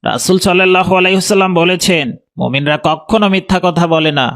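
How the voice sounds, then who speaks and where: A man acts as an ordinary grown-up in a moral cartoon. The voice is high for a man. The delivery is emphatic and remonstrating. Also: quick pace, a bit bright. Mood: stern. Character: adult man, shopkeeper or stern father. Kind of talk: children's moral cartoon dialogue.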